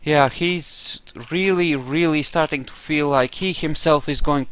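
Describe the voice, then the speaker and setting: A man's voice: a little high, a little slow, fairly bright tone, clear, resonant tone. A man giving casual roleplay commentary as he plays a video game, muttering to himself with playful exclamations. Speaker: gamer, let's play host.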